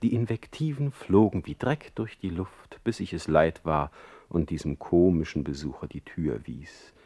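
Radio drama: a middle-aged exiled poet, lowish voice, sardonic wit, ornate and mocking delivery. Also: male voice, moderate pace, warm tone, breathy edge. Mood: wry, dictating. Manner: mocking, eloquent, ornate irony. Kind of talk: radio play acting with letter narration.

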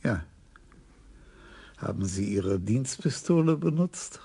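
A gravelly old commissioner. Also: male voice, medium pitch, animated, slow, dark tone, exaggerated voice. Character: calm elderly police commissioner. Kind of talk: radio drama acting.

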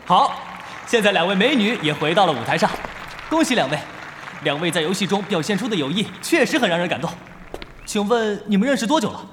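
A man playing a lively esports stage host in an audio drama, with a polished, enthusiastic announcer delivery. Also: high-pitched, brisk, crowd noise, background noise. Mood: upbeat, announcing. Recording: long stage reverb, faint music behind.

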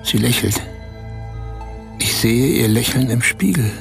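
An actor playing a man in a radio play who confides a strange, embarrassing adventure in short, halting phrases. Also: medium pitch, expressive, creaky, faint music behind. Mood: dazed, remembering. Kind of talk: radio play, intimate first-person storytelling.

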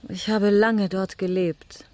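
An actress playing a witty, worldly marquise of middle age in a German radio drama, with a poised, coquettish, dryly ironic manner. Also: female voice, medium pitch, little pitch movement, slow pace, a little mellow, natural voice. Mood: playfully guarded. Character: witty, worldly French marquise, aging beauty living in convent.

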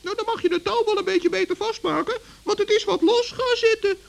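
A performer voicing a small, crafty, cheerful male forest gnome with a squeaky voice and an innocent, matter-of-fact manner in a children's radio play. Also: brisk, vocal fry. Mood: feigned innocence.

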